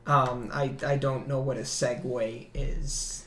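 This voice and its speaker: A man's voice: steady tone, slow pace, crowd noise. Rambling young man in a roomy echo. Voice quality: low voice. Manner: rambling, self-mocking, laughs often. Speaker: podcast co-host. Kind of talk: casual chat.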